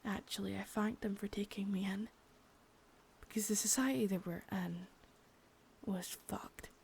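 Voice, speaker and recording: A woman's voice: medium pitch, measured pace, a little mellow, slight background noise. A young woman playing a threatening, profane second-in-command crime boss in a whispered ASMR roleplay, close to the mic. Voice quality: husky whisper.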